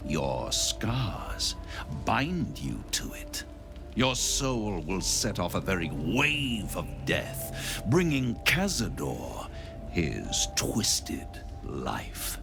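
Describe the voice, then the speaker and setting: An actor playing a suave, menacing devil in a video game, speaking in a theatrical, deliberate and grandiloquent way. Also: male voice, low-pitched, gravelly, exaggerated voice. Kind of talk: dramatic video game acting.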